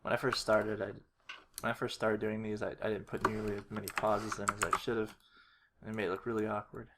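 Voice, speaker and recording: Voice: medium pitch, monotone, fairly quick. Someone casually walking through video editing on screen, half muttering to themselves while working at a computer. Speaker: video creator giving a tutorial. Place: indoors at a computer. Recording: clearly noisy, keyboard and mouse clicks.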